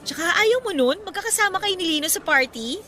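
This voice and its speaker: Actress as a bright, high-voiced chatty Filipina friend. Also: female voice, animated, fairly quick, full character voice. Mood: chatty, cheerful. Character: chatty, expressive young bride-to-be, concerned friend. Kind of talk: radio drama dialogue. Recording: faint music behind voice.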